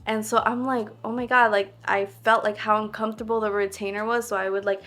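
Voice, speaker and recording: A woman's voice: medium pitch, level tone, fairly quick, fairly bright tone. A young woman vlogging to camera in a casual, reflective way, earnest and full of "like" fillers. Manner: earnest, heavy use of "like" fillers.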